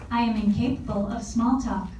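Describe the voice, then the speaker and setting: A woman voicing a cool, blunt smart-speaker assistant, measured and matter-of-fact, in a live comedy table read. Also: on the high side, fairly even intonation, lightly acted. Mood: cool, detached. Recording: echoey room, distant mic, faint noise.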